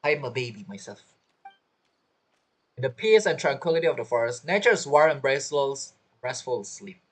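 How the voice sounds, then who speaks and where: A man's voice: mid-range voice, very animated, normal speed, slightly rough. A young man doing casual, joking playthrough commentary, reacting aloud to a video game. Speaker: gaming streamer.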